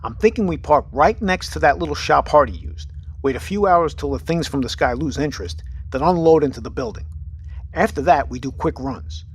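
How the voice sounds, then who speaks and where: An actor playing a steady, pragmatic submarine captain in an audio drama, with a clipped, commanding, matter-of-fact manner. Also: male voice, fairly high pitch, a little fast, a little hiss or hum, natural voice. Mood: firm, resolved.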